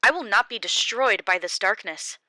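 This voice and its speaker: A woman's voice: on the low side, normal speed, pushed and bright, no echo. A woman reading Job, an anguished defiant old man. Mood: defiant grief. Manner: impassioned, raised pleading delivery. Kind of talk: audiobook character reading.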